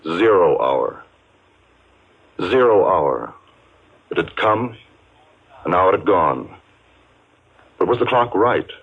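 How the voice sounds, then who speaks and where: An actor narrating a 1950s radio suspense drama as a solemn storyteller, in a measured, ominous manner with dramatic pauses. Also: male voice, deep voice, lively intonation, breathy edge, exaggerated voice. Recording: muffled vintage radio broadcast. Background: music.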